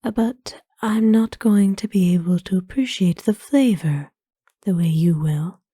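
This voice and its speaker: Casting: woman as ancient vampire noblewoman for ASMR roleplay, very dark soft tone, breathy whisper, kind and formal, unhurried. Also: medium pitch, dry recording, studio quality. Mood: surprised, hesitant. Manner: formal, slow, tender, hesitant pauses. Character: kind, courtly centuries-old female vampire owner, gently doting. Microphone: close dry microphone.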